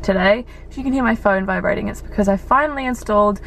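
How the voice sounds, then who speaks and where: A young woman in her twenties rambles cheerfully to a dash-mounted camera in her parked car, full of likes and ums. Her voice has a gravelly fry, and the sound sits in close car acoustics. A faint background noise runs underneath. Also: medium pitch, quick pace, lo-fi sound. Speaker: vlogger and primary school teacher. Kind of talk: casual vlog chat to camera.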